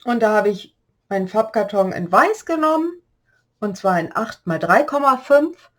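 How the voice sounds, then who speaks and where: Woman kinda hoarse from a cold, chatting thru a paper craft tutorial, mid pitch. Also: big pitch swings, moderate pace. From Germany. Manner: chatty, explains measurements while working. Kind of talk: step-by-step craft demonstration.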